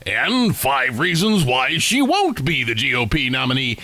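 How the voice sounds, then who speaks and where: A man co-hosting a casual political banter podcast, sarcastic with a deadpan, mock-newsy delivery. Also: mid-range voice, a little slow. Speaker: co-host.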